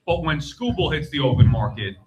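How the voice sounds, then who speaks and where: A man's voice: fairly high pitch, animated, a little fast. A man hosting a live sports talk panel on stage, speaking in a blunt, insistent, punchy way. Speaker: panel show host. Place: live on stage at a fan convention.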